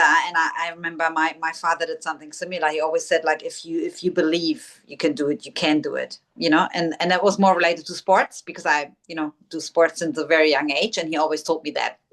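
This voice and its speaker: A woman with a fairly low voice hosts a spiritual conversation podcast. She affirms her guest often and speaks in soulful, intuitive terms. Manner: warm affirming, spiritual vocabulary. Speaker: podcast host, intuitive soul guide. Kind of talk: casual interview chat.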